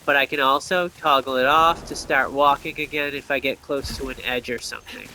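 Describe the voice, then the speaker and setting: A man's voice: medium pitch, steady tone, brisk, pushed and bright, slight background noise, rough recording. A man giving casual gameplay commentary, explaining things and thinking aloud as he plays.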